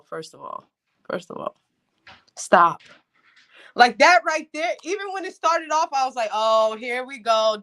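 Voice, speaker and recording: A woman co-hosting a casual podcast, animated and building up outrage as she talks. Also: high-pitched. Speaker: podcast co-host. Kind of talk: casual chat.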